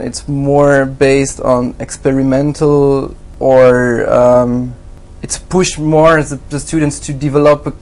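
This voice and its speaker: A man's voice: medium pitch, darkish tone, low-quality recording. A man in an interview, answering hesitantly with many fillers and restarts. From Switzerland. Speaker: graphic designer, interview guest. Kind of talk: halting conversational interview answers.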